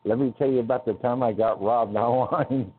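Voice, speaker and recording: A man's voice: mid-range voice, moderate pace. A man hosting a call-in show, bantering in a joking, laid-back way. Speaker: radio show host. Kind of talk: casual call-in chat. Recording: tinny phone line with faint noise.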